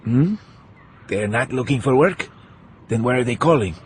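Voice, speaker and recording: An actor playing a ruthless, commanding older Bolivian general turned dictator in an audiobook drama. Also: male voice, medium pitch, lively intonation, moderate pace, slight background noise, close to an everyday voice. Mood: bored. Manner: commanding, imperious.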